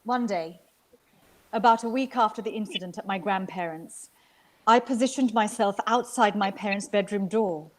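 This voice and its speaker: A woman from Sri Lanka reading literary fiction aloud as an actor, with measured, expressive storytelling. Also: medium pitch. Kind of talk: dramatic literary narration.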